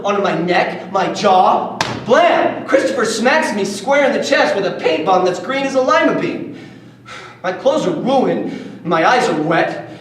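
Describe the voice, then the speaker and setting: A sensitive, defiant schoolboy acted by a man tells his story on stage in vivid, short bursts. The voice is high and swings widely in pitch, heard from a distance in a very reverberant room. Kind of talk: dramatic first-person stage monologue. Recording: distant, echoey room with faint noise.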